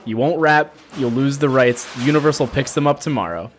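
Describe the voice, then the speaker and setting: An actor playing a slick, self-satisfied Hollywood executive in a breezy comedic audio drama. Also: male voice, mid-range voice, fairly quick, slight background noise, lightly acted. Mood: breezy, gloating. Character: smug, slick male Hollywood studio executive.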